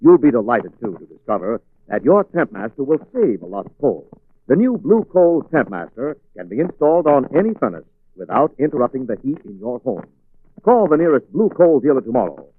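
A man's voice: mid-range voice, very animated, brisk, breathy edge, dry recording, phone-quality sound. A man announcing in polished, salesmanlike 1940s radio style, reading scripted advertising and narration. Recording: thin, band-limited 1940s radio recording.

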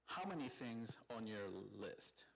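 A man with a Korean accent gives a friendly, conversational sermon at a mid-range pitch. He leads the congregation in call-and-response and speaks to them casually. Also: animated, a little slow, rough recording. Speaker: site pastor. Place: church sanctuary.

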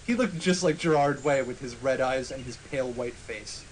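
A man's voice: mid-range voice, moderate pace, slight background noise, low-quality recording. A man narrating a comic dramatic reading of fan fiction as a podcast host, in a mock-serious manner.

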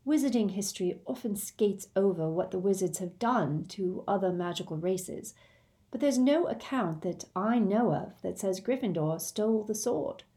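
Woman playing an earnest, expressive teenage girl. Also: medium pitch, normal speed, lightly acted. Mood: hopeless.

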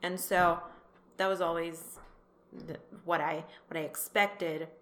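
A woman from Iran telling her personal story as an interview guest, speaking haltingly and repeating words as she thinks. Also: lowish voice, fairly even intonation, fairly bright tone.

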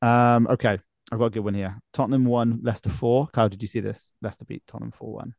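A man hosting a casual soccer podcast, chatting excitably and repeating phrases for emphasis. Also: medium pitch, fast talker, creaky, dry recording. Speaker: podcast host. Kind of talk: casual banter about soccer. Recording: remote video-call podcast recording.

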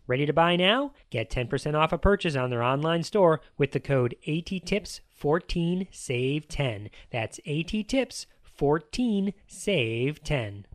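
A man's voice: a little high, no echo, studio quality. An American man narrating a scripted podcast as host, with an emphatic, upbeat presenter delivery.

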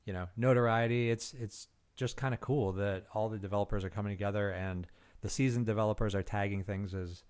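Male podcast co-host, lowish voice, relaxed and jokey. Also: a little fast, few pauses, clear, resonant tone. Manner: easygoing, joking, filler-heavy. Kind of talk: casual chat.

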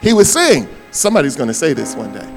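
An older man, a pastor preaching a sermon, his voice hoarse, swinging between loud bursts and soft asides. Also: on the high side, wildly swooping pitch, quick pace, faint music behind, poor audio.

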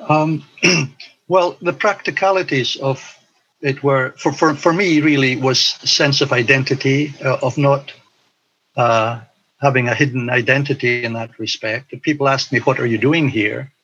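An older man reflecting on his past in an interview, speaking in a hesitant, halting way. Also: mid-range voice, steady tone, a bit bright, slightly rough, lo-fi sound. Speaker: interview guest, former teacher abroad. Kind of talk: recollection in an interview.

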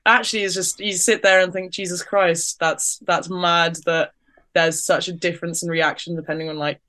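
A young woman co-hosting a podcast, talking in an animated, chatty way with lots of fillers. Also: on the low side, normal speed. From Ukrainian family, raised in the UK. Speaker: podcast co-host. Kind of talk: casual podcast discussion.

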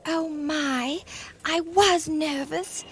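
A woman playing a lovestruck, nervous and excitable teenage British schoolgirl in a radio drama, with a giggly, breathless, gushing manner. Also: high-pitched, very slow, faint music behind, heavily acted. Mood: embarrassed. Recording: noisy radio drama recording.

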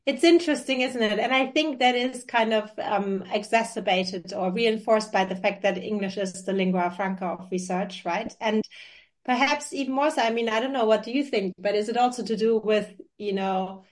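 A woman's voice: medium pitch, normal speed. A woman with a German accent, a native German speaker, talking as an academic guest in a conversational interview, thoughtful and hedging with many fillers.

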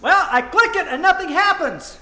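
A man's voice: very high voice, animated, moderate pace, bright, forceful tone, full character voice. A man playing an eager, clueless teenage son in an old-time radio comedy. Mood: helpful, clueless. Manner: gee-whiz, guileless. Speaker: amateur radio troupe actor.

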